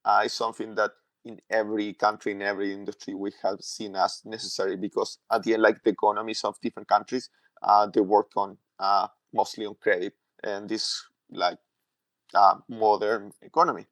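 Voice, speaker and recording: A man's voice: fairly low pitch, flat delivery, fairly bright tone, no echo. A man from Chile, a tech executive giving a casual technical explanation, full of "like" and "uh" fillers. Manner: halting, heavy use of "like" and "uh" fillers. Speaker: tech company CTO, podcast guest.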